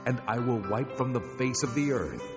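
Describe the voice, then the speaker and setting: A man's voice: medium pitch, expressive, natural voice. Man playing a solemn God in cavernous reverb. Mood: solemn command. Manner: solemn, deliberate, authoritative. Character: God, a solemn commanding male deity. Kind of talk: dramatized scripture acting. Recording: dull cavernous reverb, music behind. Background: music.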